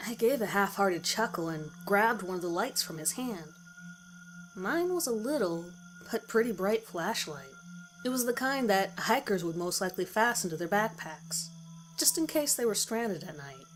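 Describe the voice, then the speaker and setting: Female storyteller, mid-pitched, scripted first-person horror narration, music underneath. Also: lively intonation, normal speed, poor audio. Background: background music.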